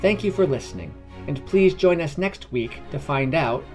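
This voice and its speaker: A man's voice: fairly high pitch, expressive, normal speed. Man narrating a fantasy story over music. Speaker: podcast narrator. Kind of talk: scripted fantasy audiobook narration.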